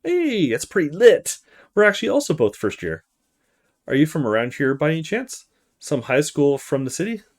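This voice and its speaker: A man's voice: on the high side, wildly swooping pitch, normal speed, pitched above the performer's own voice. Man voicing an upbeat, easygoing young male student. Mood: friendly. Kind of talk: visual novel character read-aloud.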